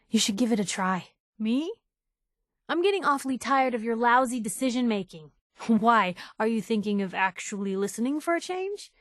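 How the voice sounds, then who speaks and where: A woman's voice: on the high side, animated, moderate pace, no room sound, clean studio sound, natural voice. An actress voicing a plucky, principled young woman reporter, a video game companion with sassy, earnest, slangy banter. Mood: disappointed, scolding.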